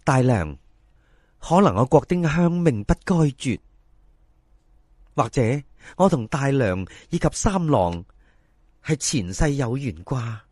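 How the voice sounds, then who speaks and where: Man playing an earnest, lively-toned young woman. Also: mid-range voice, no room sound, clean studio sound. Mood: earnest, resolute. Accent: Cantonese. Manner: polite, measured, pleading sincerity. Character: earnest, humble young woman, polite and resolute. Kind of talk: dramatised folk storytelling.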